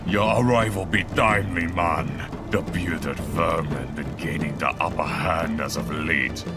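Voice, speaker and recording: A man's voice: a bass voice, a little slow. A man voicing a devoted troll tribesman in a fantasy game, speaking reverent, rousing troll patois. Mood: respectful, grave. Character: devoted male troll tribesman, eager for war. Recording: music under the voice.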